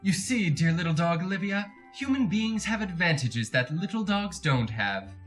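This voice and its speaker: A man's voice: sits high, animated, normal speed, fairly bright tone, soft background music. An actor playing a chatty, over-polite, creepy encyclopedia salesman who bullies his dog, in a retro radio drama monologue. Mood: needling, mock-sweet. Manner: fussy, over-polite, wordy, theatrical.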